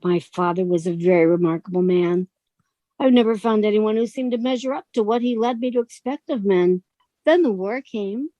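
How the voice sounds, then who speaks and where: An actress playing a gentle, lonely bookshop woman, earnest and shyly admiring, in a radio suspense drama. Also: mid-range voice, normal speed, dry recording, muffled recording, natural voice. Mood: wistful, tender. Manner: soft-spoken, earnest, flattering.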